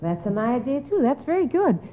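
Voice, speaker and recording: A woman hosting an old-time radio quiz in a formal, measured, encouraging way with precise wording. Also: high voice, sing-song, slight background noise. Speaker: quiz show host. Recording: tinny, narrow old radio recording.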